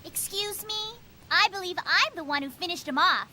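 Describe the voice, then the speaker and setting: A woman voicing an excitable teenage girl ninja cadet in an English anime dub. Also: very high voice, normal speed, background noise. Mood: smug.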